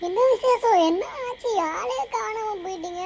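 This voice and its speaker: A woman's voice: extremely high pitch, normal speed, gravelly, a little mellow. A woman chatting casually with other players in a role-play game. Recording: slightly noisy game voice chat.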